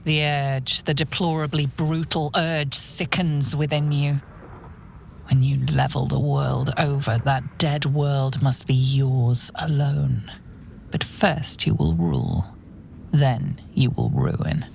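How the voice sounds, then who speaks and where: A man playing a menacing spirit of dark urges in a video game, ominous and incantatory. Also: mid-range voice, slow, vocal fry, a little hiss or hum. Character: menacing dark urge spirit promising dominion and ruin. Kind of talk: dramatic video game character acting.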